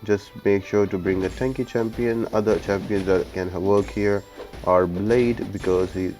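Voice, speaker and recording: A man's voice: low-pitched, darkish tone. A man giving step-by-step instructional commentary for a video game walkthrough. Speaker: game guide video creator and host.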